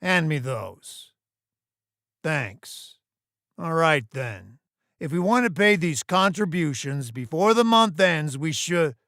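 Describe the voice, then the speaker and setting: Man acting a commanding, condescending boss, pitched up. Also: sing-song, slow pace, fairly bright tone, no room sound, clean studio sound, exaggerated voice. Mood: brisk, dismissive. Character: stern, commanding, dismissive male boss in furry sci-fi.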